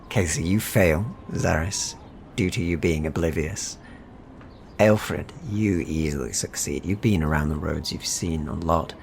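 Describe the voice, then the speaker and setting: A man's voice: low voice, unhurried, gravelly, slight background noise. A man narrating a tabletop fantasy game as game master, in a descriptive, evocative storytelling manner.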